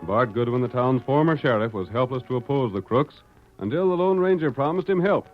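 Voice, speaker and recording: A man's voice: mid-range voice, lively intonation, fairly quick. An American man delivering scripted narration for a 1940s radio drama. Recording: dull old radio recording. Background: music.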